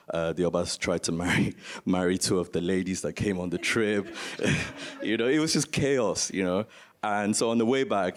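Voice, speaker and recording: A man from Nigeria giving an informal panel talk as a museum founder, telling stories and asking rhetorical questions. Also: sits low. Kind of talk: informal spoken presentation on a conference panel.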